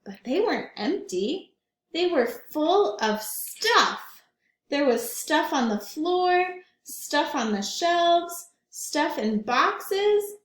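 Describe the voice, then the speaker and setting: A woman teacher reading a picture book aloud to children in a gentle, slow way, stopping to ask them questions. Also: sits high, big pitch swings. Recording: roomy echo.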